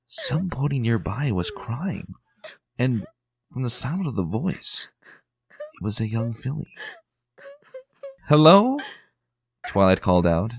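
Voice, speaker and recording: A man narrating a dark fan story with theatrical tension. His voice sits very low with a gravelly edge and swings widely in pitch. The recording is close and dry. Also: dry recording. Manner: expressive, tense and theatrical. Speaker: fan reader.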